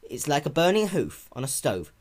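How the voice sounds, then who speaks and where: A performer voicing a caring female pony in a cartoon-based story reading, soft, patient and reassuring like a big sister. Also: medium pitch, very animated, moderate pace, voice pushed up.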